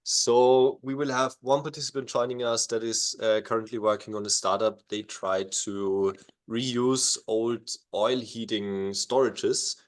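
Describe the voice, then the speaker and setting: A man casually explaining a project to a class, relaxed and thinking aloud. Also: mid-range voice, unhurried. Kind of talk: informal explanation.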